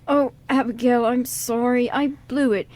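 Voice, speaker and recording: A woman playing an earnest, excitable teenage boy who gushes and stammers in an amateur radio drama reading. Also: fairly high pitch, measured pace, close to an everyday voice. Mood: flustered, apologetic. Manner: stammering, gushing, rambling.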